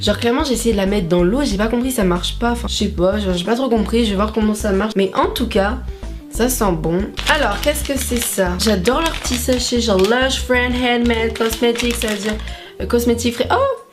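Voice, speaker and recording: A woman's voice: on the high side, normal speed. A young woman, chatting casually in a vlog, swearing a lot and tossing in playful bits of mock English, with music behind her. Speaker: vlogger. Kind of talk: casual haul vlog chat.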